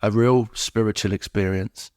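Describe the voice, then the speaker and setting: A man's voice: fairly low pitch, steady tone, moderate pace, a little mellow, no echo, clean studio sound. A middle-aged man, speaking as a podcast guest and telling personal recovery stories, acting out what people said. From England.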